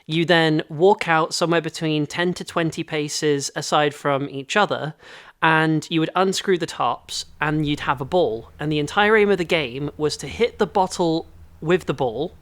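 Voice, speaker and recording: A man's voice: a little high, normal speed, fairly bright tone, clear, resonant tone. A man from Belgium chatting casually as a podcast co-host.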